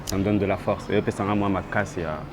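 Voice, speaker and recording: Low-voiced young man, street noise around. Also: steady tone. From France. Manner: halting, mixes French and Lingala. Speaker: rapper guest. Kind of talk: street interview.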